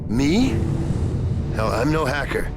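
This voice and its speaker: An actor playing a wisecracking, defiant video game hero with a terse, sarcastic manner. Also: male voice, mid-range voice, sing-song, takes it slowly, loud music behind the voice. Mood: guarded, dry. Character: wisecracking, defiant action hero, dry and suspicious. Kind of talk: dramatic game cutscene dialogue.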